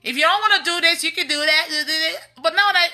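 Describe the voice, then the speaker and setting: A woman in her thirties with a Nigerian accent, preaching casually online in an animated, emphatic way and repeating phrases. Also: very high voice, quick pace. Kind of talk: casual testimony-style preaching on a livestream. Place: indoors in a room at home.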